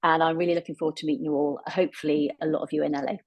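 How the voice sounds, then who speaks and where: A woman's voice: low-pitched, level tone, rapid-fire, breathy edge, no room sound. A woman from Cornwall with an English accent, a netball coach, introducing herself casually and self-deprecatingly on a video call. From England, Cornwall.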